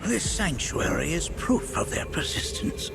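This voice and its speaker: An actor playing an eccentric, wise old mentor in a video game, with formal, measured, old-fashioned speech. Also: male voice, fairly high pitch, big pitch swings, vocal fry, exaggerated voice. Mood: grave, didactic. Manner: formal, archaic, measured, lecturing with chuckles. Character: eccentric, wise old male elder mentor, playful yet solemn. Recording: loud music over voice.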